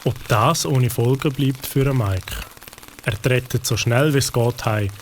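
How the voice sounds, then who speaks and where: Swiss german guy narrating a kids story, calm and clear. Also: medium pitch, unhurried, a little hiss or hum.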